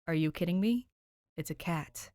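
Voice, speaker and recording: A woman's voice: lowish voice, moderate pace, dark tone, dry recording, studio quality, lightly acted. A woman voicing an easygoing, amused young man in an audiobook, playful and a little patronizing. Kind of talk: audiobook character voice, fan fiction reading.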